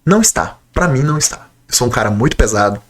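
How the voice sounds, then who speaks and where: Fast-talking man, a bit nasal from a cold. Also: medium pitch, animated. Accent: Brazilian Portuguese. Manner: conversational, enthusiastic, direct address to viewers. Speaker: nutritionist vlogger. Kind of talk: casual talking-to-camera vlog.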